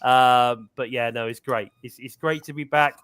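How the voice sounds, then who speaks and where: A man's voice: mid-range voice, fairly even intonation, fairly bright tone, clean tone. A man hosting a live-stream talk show, chatty and upbeat, with a lingering cough. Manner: upbeat, chatty, drawn-out fillers. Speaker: podcast host. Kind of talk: casual live-stream chat.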